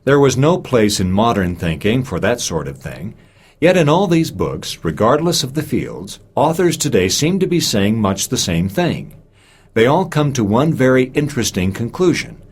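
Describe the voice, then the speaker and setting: A man reading a lecture aloud in a measured way, giving expressive emphasis to key phrases and quotations. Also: on the low side, husky. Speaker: reader of a recorded speech.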